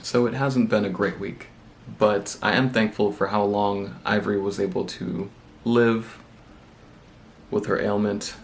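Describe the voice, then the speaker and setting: Congested man with a gravelly low voice. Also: a little slow, slight background noise. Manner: relaxed, rambling, self-deprecating. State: congested with a cold. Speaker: booktube vlogger. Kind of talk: casual vlog chat.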